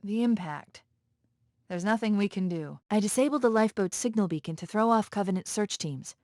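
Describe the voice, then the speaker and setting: Woman playing a smart female ai sidekick from a military game, crisp n analytical, calm but urgent, real dry close recording. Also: medium pitch, brisk, no echo, lightly acted. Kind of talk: video game voice acting.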